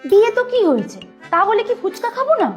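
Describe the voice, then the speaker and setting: Girlish, very high cartoon young bride, acted by a woman. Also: wildly swooping pitch, fast, faint music behind, heavily acted. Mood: pleading. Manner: coaxing, girlish. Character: sweet, pleading young bride-to-be daughter. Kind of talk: cartoon voice acting.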